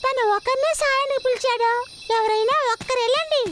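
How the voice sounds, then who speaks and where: A woman's voice: very high voice, a little fast. A woman voicing a cheerful young male hero in a dubbed cartoon, eager and chatty. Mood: bossy. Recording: loud music under voice.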